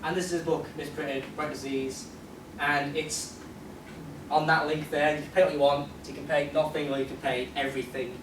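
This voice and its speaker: A man's voice: fairly high pitch, flat delivery, normal speed. A man speaking as a convention panelist, chatting casually and jokingly with the audience. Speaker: convention panelist from an audio drama group. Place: convention panel room, indoors. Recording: roomy, distant microphone, noisy convention room.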